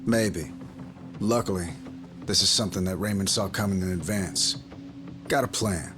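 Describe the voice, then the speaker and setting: An actor playing a steady, pragmatic leader in a video game, earnest and firm, in clipped phrases. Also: male voice, low-pitched, moderate pace, music in the background, natural voice. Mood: steady, planning. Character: steady, pragmatic male leader briefing his allies. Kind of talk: dramatic video game cutscene acting.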